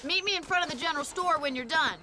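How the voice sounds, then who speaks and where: Actress as young frontier rancher woman, high voice, dry teasing manner, Western game dialogue, loud hooves and wagon noise behind. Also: fast talker, natural voice. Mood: brisk, teasing. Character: plain-spoken, wry young female frontier rancher. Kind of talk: video game dialogue acting. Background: hoof clops, wagon ride.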